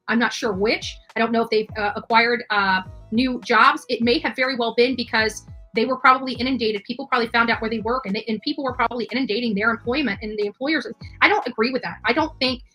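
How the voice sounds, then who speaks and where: Bright, high-voiced woman, halting delivery. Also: soft background music, rough recording. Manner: hesitant, halting phrasing with many filled pauses. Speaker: livestream host. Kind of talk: unscripted livestream commentary.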